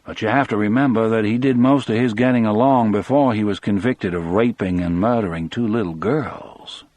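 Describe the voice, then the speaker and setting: A man's voice: on the low side, moderate pace, darkish tone, no echo, lightly acted. A man voicing a blunt, skeptical prison guard in an audiobook, reasoning matter-of-factly. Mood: measured rebuttal.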